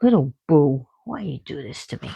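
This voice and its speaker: A woman giving a casual spiritual talk about myth and oracle cards, halting often and restarting as she searches for words. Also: low voice, animated, creaky, warm tone, slightly rough, dry recording. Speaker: oracle card reader.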